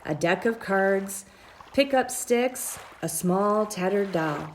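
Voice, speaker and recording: Woman reading a kids book out loud, really slow and super expressive, drawn out phrases. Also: medium pitch, slight background noise, rough recording. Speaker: storybook reader. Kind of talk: expressive read-aloud of a children's story.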